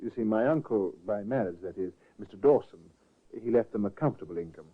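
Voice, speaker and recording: A man's voice: fairly low pitch, expressive, fast talker, slight background noise, close to an everyday voice. A polite, solicitous nephew, played by a man in a 1950s radio play, his intonation rising and falling freely. The sound is thin and narrow, like an old restored broadcast. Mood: courteous. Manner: courteous and solicitous. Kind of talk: 1950s radio drama acting.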